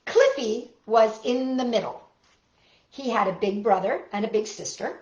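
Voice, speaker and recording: A high-voiced woman presents story time for young children. She is playful and expressive, speaking straight to her little listeners, in a roomy, echoing recording that sounds slightly dull. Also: unhurried. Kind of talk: children's story time with songs, read-aloud and chat.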